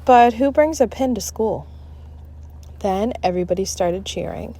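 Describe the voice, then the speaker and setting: A woman narrating a children's book aloud in an expressive, dramatic, deliberate style. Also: medium pitch. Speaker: storybook reader.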